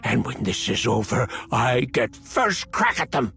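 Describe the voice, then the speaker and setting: A man's voice: medium pitch, very animated, measured pace, vocal fry, pushed and bright, slightly rough, faint music behind, full character voice. A man playing a cowardly, whining, self-serving slicer in a video game, stammering and pleading nervously. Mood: bitter grumbling. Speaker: captured comms technician informant. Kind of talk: video game cutscene acting.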